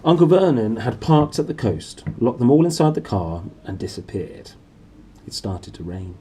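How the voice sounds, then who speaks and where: A man narrates a children's story with very lively, swooping intonation. His voice is mellow and somewhat husky, and he reads in an animated, dramatic storytelling style. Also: medium pitch, moderate pace. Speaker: storybook reader.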